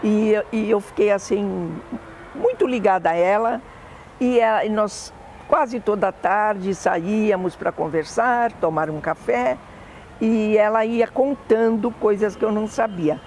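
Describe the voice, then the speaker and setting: An older woman with a Brazilian Portuguese accent, fondly recalling family memories in an oral history interview, often pausing to correct herself. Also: mid-range voice, darkish tone, a little hiss or hum. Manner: fond, meandering reminiscing with self-corrections.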